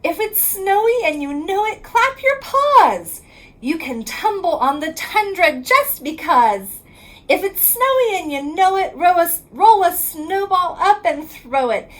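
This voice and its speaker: Very high-pitched woman reading a picture book. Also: very animated, fairly bright tone. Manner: sing-song rhyming delivery, drawn-out line endings. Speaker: reading specialist reading aloud to children. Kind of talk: picture book read-aloud.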